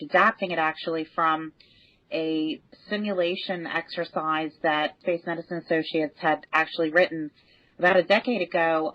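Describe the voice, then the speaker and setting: A woman's voice: deep voice, no room sound. A woman speaking as a podcast guest, explaining and recounting in a conversational way. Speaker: podcast guest, medical association president. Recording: dull, band-limited call audio.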